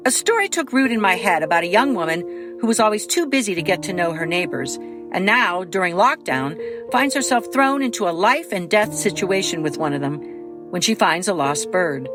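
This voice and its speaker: A woman writer reading a scripted introduction to an audio drama in a storytelling way. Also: mid-range voice. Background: guitar music.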